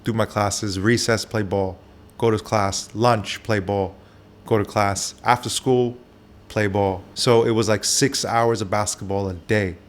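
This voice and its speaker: A man who grew up in Japan, a barber, answering interview questions candidly, with soft pauses and frequent fillers. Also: fairly low pitch, steady tone.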